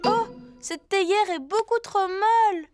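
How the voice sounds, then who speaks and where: A sweet little animal child. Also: female voice, very high voice, measured pace. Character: small playful young animal child. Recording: cartoon soundtrack with music.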